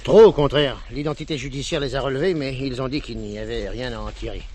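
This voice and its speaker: An actor playing a brisk, methodical gendarme chief in a French radio crime drama, questioning and reporting in an official, matter-of-fact way. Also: male voice, medium pitch, darkish tone, lightly acted. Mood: matter-of-fact, explaining.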